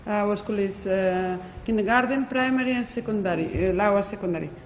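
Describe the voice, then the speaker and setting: A woman's voice: mid-range voice, fairly quick, a little hiss or hum. A woman from Spain with a Spanish accent, a head teacher answering interview questions in English hesitantly, with many fillers. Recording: roomy hall, dull lo-fi sound.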